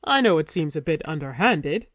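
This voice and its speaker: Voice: medium pitch, sing-song, moderate pace, fairly bright tone, dry recording, studio quality. A narrator voicing a young warrior posing as a king, stiff and awkward with forced cheer. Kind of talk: audiobook character voice.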